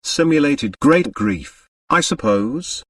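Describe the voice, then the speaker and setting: A gruff American businessman played by a male narrator in a mystery audiobook, blunt and bitter. He speaks with angry emphasis, slightly distant from the microphone. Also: medium pitch, expressive, slow pace, no room sound, studio quality. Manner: gruff, emphatic, plain-spoken.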